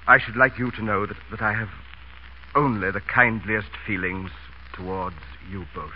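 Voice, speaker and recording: An actor playing a polite, dignified English manservant in a radio comedy, speaking formally and haltingly with great deference. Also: male voice, on the low side, steady tone, close to an everyday voice. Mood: hesitant, shocked. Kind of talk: 1930s-40s radio comedy acting. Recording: muffled, hissy old radio recording.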